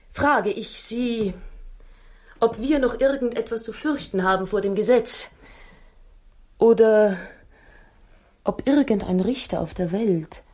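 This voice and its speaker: An actress in a German radio drama playing an anguished wife who tells her story in a dramatic, halting way. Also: medium pitch, darkish tone, close to an everyday voice. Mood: pleading. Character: anguished Italian wife recounting a murderous secret society's threat.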